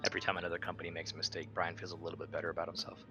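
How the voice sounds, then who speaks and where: Man, tabletop player, low pitch, quick pace, casual chat. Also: little pitch movement, noisy background.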